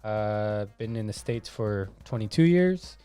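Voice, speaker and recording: A man in his thirties, from Argentina, chatting as a podcast guest in a relaxed, joking way with plenty of fillers. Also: on the low side, a little slow, clean tone, soft background music. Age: 30s. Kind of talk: casual podcast chat.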